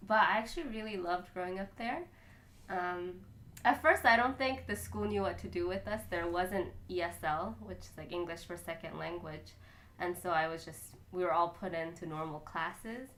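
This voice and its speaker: A woman from Korea, a violinist, telling stories about growing up in a relaxed, chatty way. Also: lowish voice, normal speed. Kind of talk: casual storytelling chat.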